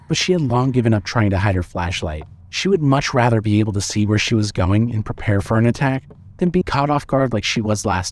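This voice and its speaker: A man's voice: medium pitch, a little mellow. A man reading scripted horror fiction as the narrator of an audio drama.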